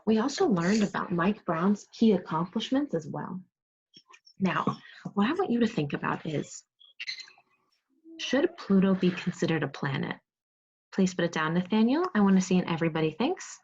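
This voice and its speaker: A woman teaching second grade over a video call, reading aloud, giving clear instructions and prompting pupils with questions. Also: on the low side, sing-song, normal speed, long pauses, a little mellow, slight background noise. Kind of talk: interactive read-aloud lesson on video call. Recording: dull, muffled video call audio.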